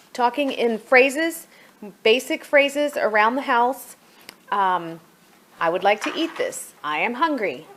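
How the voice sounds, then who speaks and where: A woman's voice: fairly high pitch, a bit bright. A woman giving a casual product review as a homeschooling mother, chatty and demonstrative, thinking aloud as she goes.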